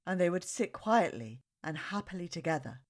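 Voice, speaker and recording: A woman reading a children's story aloud with expressive, dramatic phrasing. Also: fairly low pitch, normal speed.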